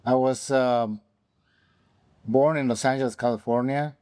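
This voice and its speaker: Man, warm dark tone, halting with fillers, dry studio sound. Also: mid-range voice, steady tone, clean tone, no room sound. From Mexico. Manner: halting, with frequent ums and restarts. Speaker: OB/GYN guest. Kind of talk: conversational interview answers.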